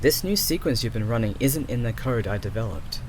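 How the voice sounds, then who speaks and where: A man's voice: medium pitch, animated, brisk, a little hiss or hum, lightly acted, low-quality recording. A man playing an exasperated, sarcastic creator of an artificial intelligence in an audio roleplay. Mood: concerned, scolding. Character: exasperated male creator and programmer of an archive AI.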